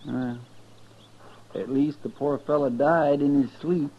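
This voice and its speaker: A man's voice: on the high side, steady tone, slow pace. A man playing a folksy, deferential cowboy sidekick in a 1950s radio western, with a drawling American accent. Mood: somber, puzzled. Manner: drawling, folksy, plain-spoken. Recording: dull, noisy 1950s radio recording.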